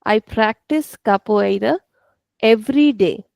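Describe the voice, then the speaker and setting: Gravelly-voiced woman teaching a language class. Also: mid-range voice, unhurried, darkish tone, no echo. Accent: Indian English. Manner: patient, repeats and explains step by step. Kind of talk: online class lesson.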